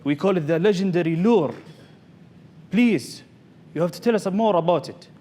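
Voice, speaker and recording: A man's voice: high voice, animated, moderate pace, mellow, pitched above the performer's own voice. A man voicing a majestic, indignant fish king in a pompous, declaiming manner for a live tall tale. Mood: eager, regal. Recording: noisy live event recording.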